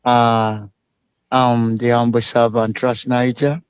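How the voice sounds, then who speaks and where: A man from Uganda who speaks as a guest in a casual live interview. He talks slowly and haltingly, with many fillers. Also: medium pitch, little pitch movement, clear, resonant tone, no echo.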